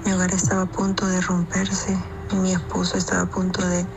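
Salvadoran woman, testimonial client, gravelly fry in the voice, flat intonation, music bed. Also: on the low side, brisk, background noise. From San Miguel, El Salvador. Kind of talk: personal testimonial.

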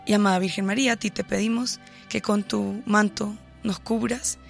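A young woman from Bogotá with a Colombian Caribbean coast accent, sharing her faith testimony and leading a prayer in a soft, earnest voice. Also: medium pitch, normal speed, creaky, soft background music. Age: 20s. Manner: earnest, leads prayer in a soft steady cadence. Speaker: guest giving testimony. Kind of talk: casual chat and spoken prayer.

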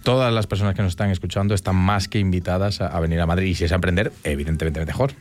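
Fast-talking low-voiced man from Spain. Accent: Castilian Spanish. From León, northern Spain. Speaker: interview guest, city councillor. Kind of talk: conversational interview answers.

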